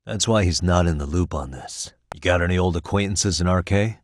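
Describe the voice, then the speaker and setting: A man playing a shrewd, level-headed private detective in his thirties for a video game, speaking in a measured, matter-of-fact, determined way. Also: deep voice, natural voice. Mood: matter-of-fact, serious. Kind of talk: English video game voice acting.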